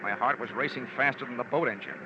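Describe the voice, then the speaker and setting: A man's voice: medium pitch, quick pace, muffled recording, noisy background, close to an everyday voice. An actor playing a hard-boiled American insurance investigator, narrating his case in a wry, clipped, wisecracking way in vintage radio drama. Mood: tense. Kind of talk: 1940s-50s radio detective narration. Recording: band-limited old radio recording with music.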